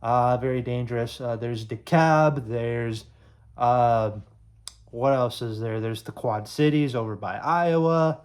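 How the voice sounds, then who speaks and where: A man's voice: mid-range voice, slow pace, darkish tone. A young man from Illinois chatting casually to camera, rambling with lots of fillers and restarts. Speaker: YouTube sports creator.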